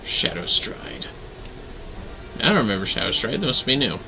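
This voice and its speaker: A man giving casual livestream game commentary in an animated way, often talking to himself. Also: medium pitch, normal speed, gravelly, poor audio. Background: music.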